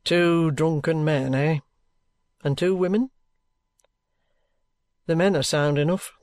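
A middle-aged police detective, stern and watchful, firing off brisk questions in a raised, firm voice, as a man puts him on for an audiobook. The sound is close and dry, with no room around it. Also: fairly high pitch, no echo. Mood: probing. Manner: brisk, probing questions, firm then softening.

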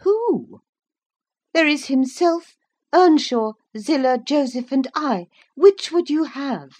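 Woman acting a sharp, high-pitched haughty young lady. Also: lively intonation, takes it slowly. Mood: scornful. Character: scornful, sharp-tongued young woman of the house.

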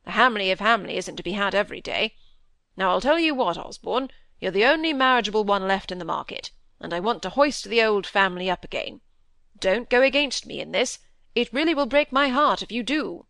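A woman voicing a gruff, hot-tempered old country squire in an audiobook, blustering and emphatic, with rustic old-fashioned phrasing. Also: medium pitch, expressive, a little fast, pushed and bright, no echo, studio quality. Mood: urgent, gruff. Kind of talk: audiobook character dialogue.

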